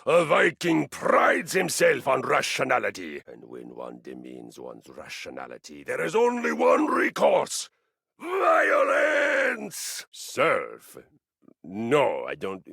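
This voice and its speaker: Gravelly, bombastic Viking warrior. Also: male voice, medium pitch, huge pitch swings, takes it slowly, a bit bright, no echo, studio quality, heavily acted. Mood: indignant. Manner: theatrical, pompous, formal archaic phrasing. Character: brash, battle-loving Viking warrior, boastful and bombastic. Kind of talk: video game character voice acting.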